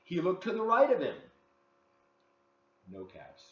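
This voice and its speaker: Male storytime reader, lively and expressive, addressing children directly; dull, roomy home recording. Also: sits high, measured pace. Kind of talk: read-aloud storytime for kids, with asides. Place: living room at home.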